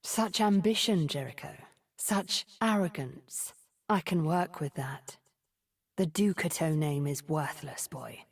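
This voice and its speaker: Video game sorceress: low-pitched, gravelly, ambitious, coolly disdainful. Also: female voice, recorded from a distance, full character voice. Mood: scornful, calculating. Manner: haughty, measured, disdainful. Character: scheming, haughty, ambitious sorceress, coolly condescending.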